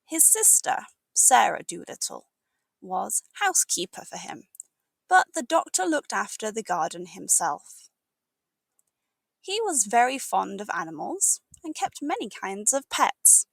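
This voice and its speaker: A British woman reading a storybook aloud as an English teacher, with expressive, deliberate narration for learners. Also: mid-range voice, gravelly, slightly rough, no echo.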